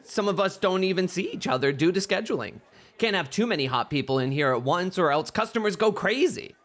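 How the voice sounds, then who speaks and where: Man acting as a high, lilting, coy bunny boy. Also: a bit bright. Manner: coy, teasing, playful. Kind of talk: ASMR roleplay acting.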